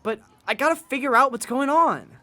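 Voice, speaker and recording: A woman's voice: high-pitched, fairly quick, bright, forceful tone. A woman playing an eager, worried young son of a policeman in a 1920s-style radio drama, often hesitant and stammering. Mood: anxious, determined. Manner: hesitant, stammering when pressed.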